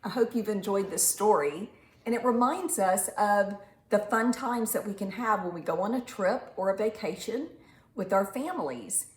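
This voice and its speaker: A woman reading a picture book aloud to young children in an animated, teacherly way, pausing to ask simple questions and stretching words for emphasis. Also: mid-range voice. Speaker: children's storytime reader and teacher. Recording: roomy echo with faint background noise.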